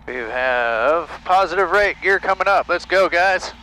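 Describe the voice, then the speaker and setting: A man's voice: high-pitched, measured pace, poor audio. A man flying a small plane, talking himself through it in short, clipped phrases with casual cockpit commentary and radio calls. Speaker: private pilot. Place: small aircraft cockpit in flight. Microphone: aviation headset. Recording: steady engine noise in cockpit. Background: aircraft engine drone.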